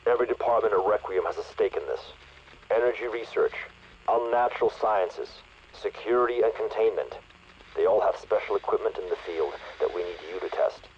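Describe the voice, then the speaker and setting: Stern earnest commanding officer, low pitch, radio briefing, video game, muffled lo-fi transmission. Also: male voice, a little fast, a little mellow, husky. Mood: stern, firm. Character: stern, earnest special operations leader addressing troops. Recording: muffled radio with faint noise.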